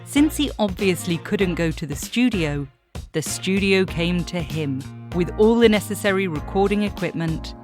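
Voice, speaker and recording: A woman with a fairly low voice narrates a scripted documentary. She speaks as the host of the programme, with faint music behind her.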